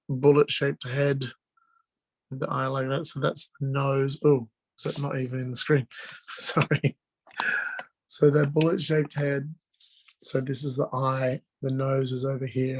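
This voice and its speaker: A man teaches drawing in an informal online lesson. His voice is hoarse and strained from illness, at a medium pitch. He explains each step casually as he draws, speaking over a video call. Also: completely flat delivery, measured pace, rough recording. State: hoarse, voice strained from being sick.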